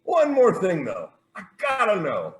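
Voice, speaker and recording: An excitable, blunt male newspaper editor, played by a man in a stage farce. His theatrical voice is extremely high and animated, ringing in an echoey room. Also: measured pace, full character voice.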